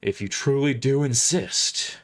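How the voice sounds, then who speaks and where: A man voicing a giant armored crusader in an audio drama, speaking in a formal, measured and blunt way. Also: mid-range voice, natural voice. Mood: formal, concerned.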